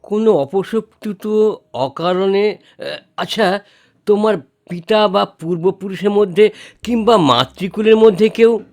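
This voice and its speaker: An actor playing a blunt, probing tantric guru in an audio drama, speaking with authority. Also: male voice, high-pitched, a little slow, a little mellow. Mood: grave, probing. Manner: authoritative, interrogating.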